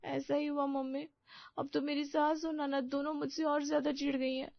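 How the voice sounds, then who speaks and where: A woman's voice: sits high, little pitch movement, normal speed, creaky, dry recording, lo-fi sound, pitched above the performer's own voice. A woman voicing an anxious, eager-to-please young daughter-in-law in a story, earnest and plaintive. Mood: dejected.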